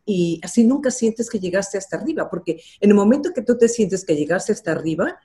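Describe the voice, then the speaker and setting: A woman's voice: medium pitch, animated, fairly quick, warm tone. An older woman, a journalist being interviewed, telling her career story in an earnest, reflective way with some fillers. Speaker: interview guest, television journalist.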